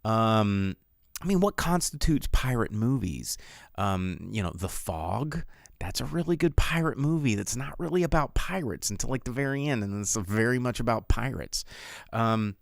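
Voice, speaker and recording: A man's voice: mid-range voice, lively intonation, moderate pace, gravelly, no echo, studio quality. A man hosting a casual, rambling livestream of film chat, with an opinionated, jokey, conversational way of talking. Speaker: livestream host reviewing films.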